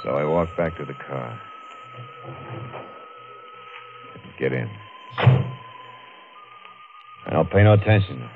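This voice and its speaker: An American actor playing a haunted, nervous killer at the wheel in a 1950s radio thriller, with halting, muttering speech. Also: male voice, rumbling low, expressive, over loud music. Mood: brooding, weary. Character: haunted, nervous, guilt-ridden male driver turned killer. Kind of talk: 1950s radio thriller acting, part narration. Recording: noisy, tinny 1950s radio recording.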